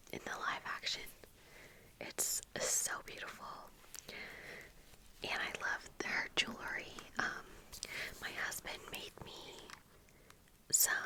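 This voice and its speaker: Female ASMR creator, whispered and unhurried, crisp bright hiss to the whisper, casual, halting get-ready chat. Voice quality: breathy unvoiced whisper. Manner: casual, halting, meandering storytelling. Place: small indoor room with bookshelves. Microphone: close furry-covered microphone on desk, earphones in.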